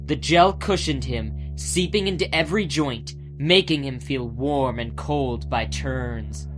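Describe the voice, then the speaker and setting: A man's voice: medium pitch, unhurried. A man giving a scripted, dramatic narration of a fantasy audiobook. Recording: music behind the voice.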